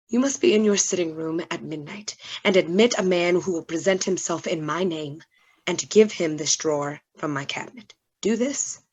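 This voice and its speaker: Tormented scientist for gothic radio: earnest, dramatic, pleading, on the lower side. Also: female voice, slightly rough.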